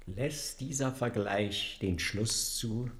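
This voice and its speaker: Casting: pious archbishop envoy, radio drama, formal, slow, fairly low voice. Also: male voice, a bit bright. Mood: solemn, reproachful. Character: solemn archbishop envoy pleading with his king. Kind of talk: German radio drama acting.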